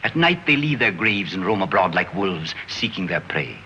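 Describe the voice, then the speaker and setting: A man's voice: sits low, sing-song, normal speed, sharp tone, dull audio, a little hiss or hum, heavily acted. An actor playing a grave, scholarly man solemnly explaining the undead, from an old film clip.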